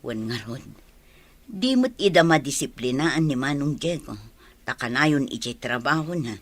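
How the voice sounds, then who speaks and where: Kind, concerned village wife. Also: female voice, very deep voice, expressive, moderate pace, dry recording. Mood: sympathetic. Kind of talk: Filipino radio drama acting.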